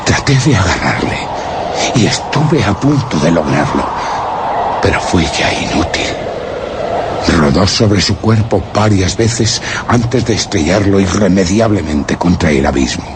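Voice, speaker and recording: A man playing a solemn, anguished explorer who narrates a horror radio play in grave, measured, literary phrases. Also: deep voice, animated, heavy background noise. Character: solemn, anguished male explorer recounting grim discoveries. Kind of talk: dramatic radio play narration, first-person horror tale. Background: music.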